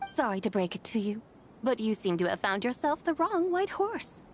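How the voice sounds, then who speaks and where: A woman's voice: a little high, very animated, normal speed. A woman playing a haughty, sly, ancient female horse spirit in a fantasy game, with theatrical, archaic, teasing formal speech. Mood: aloof, teasing. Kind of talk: fantasy game cutscene acting. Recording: music behind the voice.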